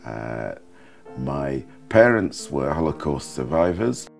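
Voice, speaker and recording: Very deep-voiced, slow-speaking man. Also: big pitch swings, gravelly, soft background music. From Hungary. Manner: slow, thoughtful, with pauses. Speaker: interviewee, former refugee. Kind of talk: documentary interview testimony.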